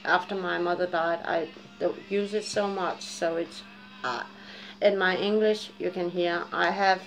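A woman's voice: lowish voice, measured pace, faint music behind, low-quality recording. A woman in her forties with a Japanese and Danish accent, chatting candidly to a webcam at home in a dim living room, rambling, laughing often and cursing freely. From Japan and Denmark. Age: 40s. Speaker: vlogger. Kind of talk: casual chat to camera.

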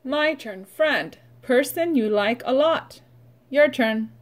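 A woman teaches young children in a read-aloud and vocabulary lesson. She goes very slowly, using short, simple phrases and leaving pauses. The lesson sounds replayed from a computer. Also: high-pitched, animated. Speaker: preschool teacher.

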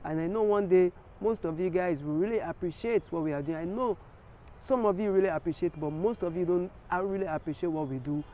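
A man's voice: high voice, fairly quick, warm tone. A man in his thirties with a Nigerian accent, talking earnestly and apologetically to viewers in a casual vlog from an empty stadium stand, filmed at arm's length. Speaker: vlogger. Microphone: phone or camera held at arm's length. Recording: faint outdoor city noise.

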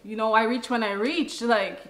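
A woman's voice: fairly high pitch, fairly even intonation, normal speed. A young woman co-hosting a vlog, giving casual relationship advice to camera in an emphatic, explanatory way. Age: young adult. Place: living room, on a sofa. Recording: echoey room. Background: music.

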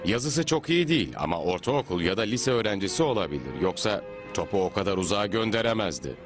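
A sceptical adult adviser, played by a man, speaks in a fairly low, animated voice. It is dubbed cartoon acting over loud music. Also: fast talker, natural voice. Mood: advising. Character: grown man, sceptical adviser to a young athlete.

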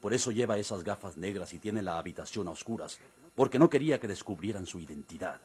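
An actor playing a grave, authoritative Mexican priest in a radio drama, speaking in a measured, formal way. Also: male voice, on the low side, lively intonation, a little mellow, slightly rough. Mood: stern, accusing. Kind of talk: golden-age radio drama acting.

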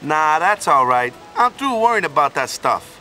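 A man's voice: a little high, very animated, moderate pace, pushed and bright, soft background music, poor audio. An actor playing a good-hearted, boneheaded island man in a video game, talking in a casual, folksy way with tag questions. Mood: resolute but uneasy. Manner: folksy and casual, tags sentences with "yeah?" and "you know?".

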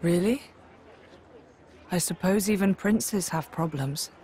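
A man voicing a gentle, earnest young swordsman in a video game cutscene, mild and lightly teasing. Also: high-pitched, slow pace. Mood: mildly impressed.

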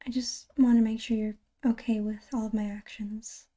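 A woman playing a caring, reassuring girlfriend in an ASMR roleplay, soft-spoken and breathy, close to a whisper, with halting, tender delivery. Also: a little high, flat delivery, lightly acted. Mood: gentle concern.

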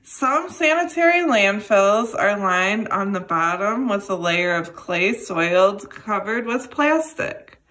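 A young adult woman reading a children's educational book aloud, addressing young listeners in a deliberate way. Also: medium pitch, fairly bright tone, low-quality recording. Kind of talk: read-aloud children's lesson.

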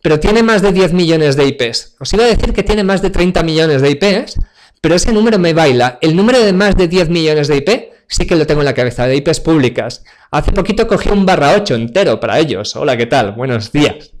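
A man in his forties from Spain runs a live online tech demo over his webcam. He jokes and chats straight to the audience, and his voice sits a little high for a man. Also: expressive, brisk. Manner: chatty and joking, speaks straight to the audience. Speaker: cloud computing speaker. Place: home office, indoors. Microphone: webcam video call.

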